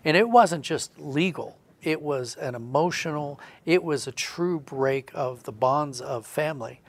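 Male podcast guest, slightly high and gravelly voice, reflective and earnest, conversational religious discussion. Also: unhurried. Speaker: audio drama writer discussing his work.

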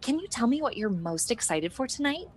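A woman's voice: on the high side, sing-song, brisk, breathy edge. A woman playing a chirpy, eager young red carpet reporter in a comedic radio sketch, polite and gushing. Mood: excited.

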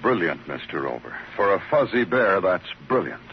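A man's voice: deep voice, big pitch swings, normal speed. An actor playing a world-weary police detective in an old radio noir drama, terse in dialogue and brooding when he narrates. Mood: dryly sardonic. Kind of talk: 1940s-50s radio noir narration and acting. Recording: dull old radio recording, music behind.

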